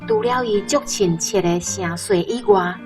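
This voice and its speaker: A woman's voice: mid-range voice, measured pace, music in the background. A woman with a Taiwanese accent reading a scripted essay for radio. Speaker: reader.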